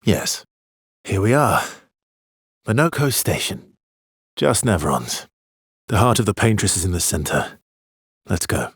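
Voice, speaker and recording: Male game hero: weary, guarded expeditioner with quiet regret. Very raspy, low voice, understated and brooding. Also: very animated, a little slow, long pauses, no room sound, clean studio sound, heavily acted. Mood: guarded, matter-of-fact. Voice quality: husky, breathy. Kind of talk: video game voice lines, dramatic acting.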